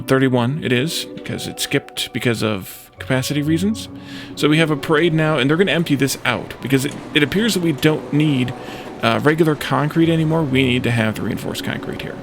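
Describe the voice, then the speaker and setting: A man giving casual let's play commentary, thinking aloud and musing to himself as he plays. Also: mid-range voice.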